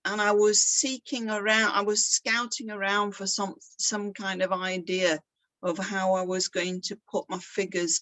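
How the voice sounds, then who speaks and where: A woman's voice: mid-range voice, fairly bright tone, no echo, rough recording. Middle-aged woman, artist talk, chatty and self-deprecating, mid-range voice, dry close sound. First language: English. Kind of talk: casual presentation.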